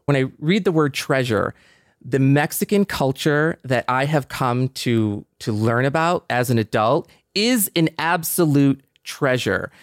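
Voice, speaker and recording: American man, smooth and earnest, close dry sound. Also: mid-range voice, animated, unhurried, no echo. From metropolitan Detroit, United States. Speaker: podcast panelist. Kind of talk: reflective conversational discussion.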